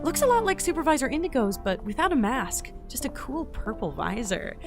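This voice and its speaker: A woman's voice: high-pitched, very animated, a little fast, over music. A woman playing a brash, inquisitive young field agent in an audio drama, talking informally and with animation. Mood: curious, observing.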